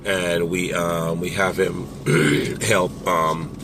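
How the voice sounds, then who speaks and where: A man talking casually to camera as a parent coach, rambling with many fillers and restarts, with a strong, loud voice. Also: low-pitched, little pitch movement, drawn-out words, background noise.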